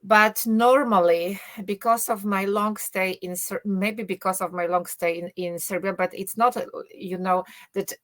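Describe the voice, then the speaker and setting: A middle-aged woman, a university teacher speaking English as an in a relaxed interview, thinking aloud with many fillers and restarts and a joking tone. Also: mid-range voice, unhurried, few pauses. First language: Polish. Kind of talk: casual conversational English, non-native.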